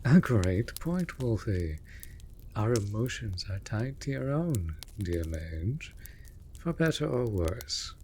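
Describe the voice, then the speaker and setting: A low-pitched male raven familiar acted by a man speaks over faint background noise. He is formal and theatrical, an exasperated but devoted servant in a fantasy audio drama who chides because he cares. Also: very animated, slow pace, a little mellow, heavily acted. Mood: chiding, caring. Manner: theatrical, formal, scolding yet caring. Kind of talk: scripted fantasy audio roleplay.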